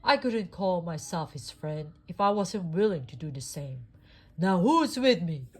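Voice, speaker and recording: A woman's voice: fairly low pitch, expressive, measured pace, husky. A woman voicing a brave, loyal male space ranger toy in an emphatic, heroic manner for a storybook read-aloud. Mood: determined.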